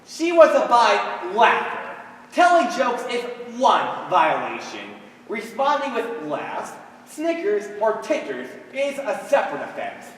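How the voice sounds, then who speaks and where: A man's voice: sits high, wildly swooping pitch, slow pace, fairly bright tone, heavily acted. A teenage boy on stage playing a wry, wisecracking comedian from an underground double act. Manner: theatrical, wry, emphatic. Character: male wisecracking underground vaudeville comedian of a duo. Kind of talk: high school stage play acting. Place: stage in a large hall, recorded from afar. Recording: echoey hall, distant microphone, background noise.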